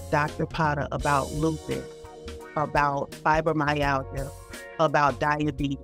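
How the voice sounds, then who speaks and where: A woman hosting a podcast, introducing and questioning a guest in a conversational way. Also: sits low. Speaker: podcast host.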